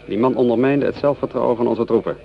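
A man acting a proud, gruff veteran lieutenant in a radio drama, older, commanding and indignant. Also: mid-range voice, quick pace, natural voice. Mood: stern. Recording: muffled old radio play recording.

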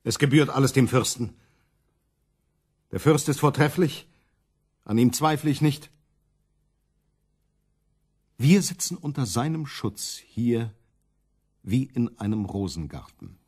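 An actor in a German radio play voicing a stubborn, self-righteous religious reformer who declaims dogmatically and sides with the princes. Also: male voice, medium pitch, animated, slow, pauses often, a little mellow, husky. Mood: smugly assured.

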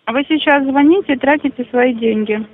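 A woman's voice: sits high, brisk. Female call-center operator on narrow phone line. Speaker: bank debt collection operator.

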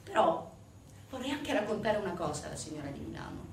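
A woman delivering a theatrical narrative monologue to an audience, emphatic, with dramatic pauses and rhetorical questions. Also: mid-range voice, very animated. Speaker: stage actor performing a monologue. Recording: echoey, distant stage recording with noise.

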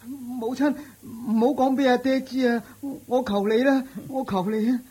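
A woman's voice: on the high side, steady tone, moderate pace, mellow, husky. A woman voicing a tearful, weak-willed young son. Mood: pleading. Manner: pleading, tearful, submissive. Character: weak-willed young man, the third son, cowed by his mother. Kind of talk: Cantonese radio drama acting.

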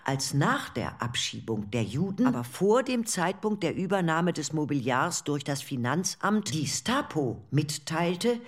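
A woman reading the part of an indignant male government official in a documentary radio play, formal and measured. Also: on the low side.

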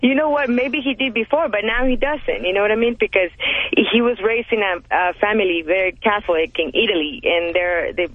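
A woman's voice: mid-range voice, brisk. A woman from El Salvador with a Salvadoran Spanish accent and Spanish as her first language, calling in to a radio show and chatting with plenty of fillers. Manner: chatty, laughs often, many fillers. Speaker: caller. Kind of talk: call-in radio conversation. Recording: tinny phone line.

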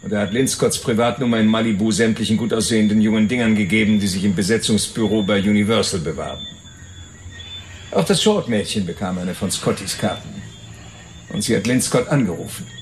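An actor playing a slick, crude, cynical fixer, talking tough and coarse in a noir radio drama. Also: male voice, mid-range voice, lightly acted.

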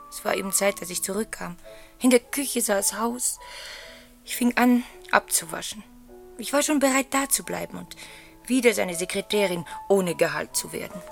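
An actress playing a wounded, emotional wife in a German radio play, dramatic, dropping into hushed tones. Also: female voice, mid-range voice, gravelly, lightly acted.

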